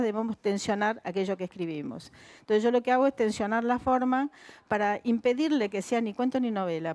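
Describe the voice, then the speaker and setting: An older woman talks through her ideas on a festival stage, pausing on fillers and tagging her points with questions. The sound is dry, with little room echo. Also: mid-range voice, a little mellow. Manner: thoughtful, many fillers and tag questions. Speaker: writer on a panel. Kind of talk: panel discussion.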